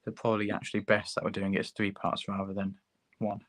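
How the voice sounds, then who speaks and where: A man with a hoarse sore throat, hosting a relaxed, chatty livestream tutorial while building a model kit at his workbench. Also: on the low side, fast, slight background noise. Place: hobby workbench, filmed from overhead.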